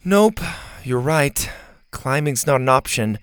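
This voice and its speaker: A man's voice: mid-range voice, lively intonation, slow pace, a bit bright, clean tone, no echo. A man voicing a bold, practical girl in an adventure story, blunt and assertive.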